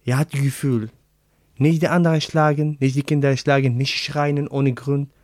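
A man with an Arabic accent, a native Arabic speaker, answering interview questions in halting, word-searching German. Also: medium pitch, fairly even intonation, darkish tone, no room sound, studio quality. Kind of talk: casual interview.

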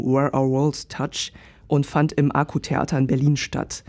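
A man's voice: a little high, mellow. A man hosting a podcast, interviewing a guest in a conversational way.

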